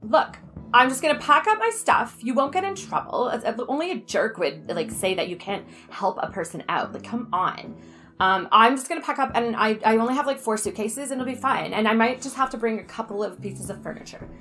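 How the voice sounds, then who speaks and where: A woman's voice: a little high, expressive, fairly quick, bright, forceful tone. A woman in middle age playing a pushy, oblivious, self-absorbed best friend in an improvised soap, rambling on insistently. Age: middle-aged. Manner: rambling, breezily insistent, valley-style filler talk. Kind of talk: improvised soap-opera comedy over a video call.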